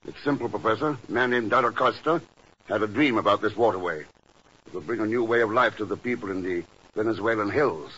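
Cool, low-voiced secret agent. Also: male voice, brisk, fairly bright tone, slightly rough. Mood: dry, confident. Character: cool, dry, hard-nosed male secret agent. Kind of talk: 1950s radio drama acting. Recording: muffled old radio recording with music.

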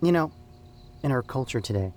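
An actor playing an earnest church group leader, conversational and prompting with probing questions. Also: male voice, medium pitch, expressive, a little fast, darkish tone, a little hiss or hum, natural voice.

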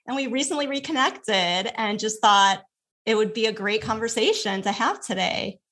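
A woman's voice: fairly high pitch, normal speed, a bit bright. A woman hosting a podcast, who talks in an upbeat, friendly, chatty way with casual fillers. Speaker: podcast host. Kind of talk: casual interview chat.

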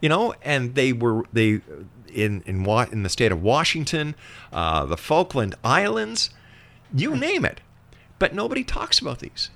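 A man's voice: mid-range voice, huge pitch swings, a little slow, gravelly, a bit bright. A man hosting a radio interview, explaining things emphatically in a conversational way and at times reading out facts.

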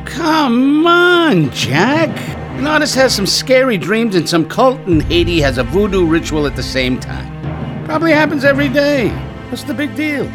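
A scoffing radio-drama skeptic with a very high, wildly swooping voice. He is colloquial and exasperated, with music clearly behind him. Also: big pitch swings, normal speed. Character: dismissive, slangy skeptic arguing with a friend. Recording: music under the voice.

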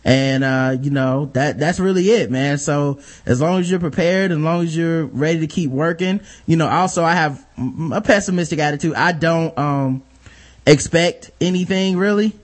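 A man hosting a podcast, talking in a laid-back, rambling way with lots of fillers and a high-pitched voice. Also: moderate pace. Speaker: podcast host. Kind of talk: casual podcast chat.

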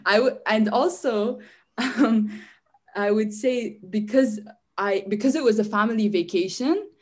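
A woman's voice: on the high side, unhurried. A young woman from Syria, a guest in a casual interview, chatty and rambling with lots of "like" and frequent restarts. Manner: chatty, restarts often, says "like" a lot.

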